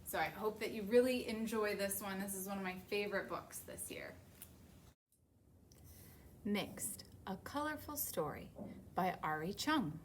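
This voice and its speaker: A woman's voice: medium pitch, lively intonation, a little slow, pauses often. A woman with an American accent hosting a children's story time, talking simply and putting questions to young listeners. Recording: noticeable background noise.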